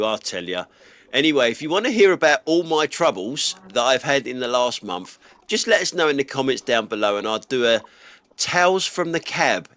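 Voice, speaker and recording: A man's voice: mid-range voice, fairly bright tone. A middle-aged British man with a stuffy nose from a cold, giving blunt, grumbling commentary on other drivers from inside his car. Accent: British English. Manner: blunt and grumbling, swears casually. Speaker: dash cam vlogger. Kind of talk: casual driving commentary.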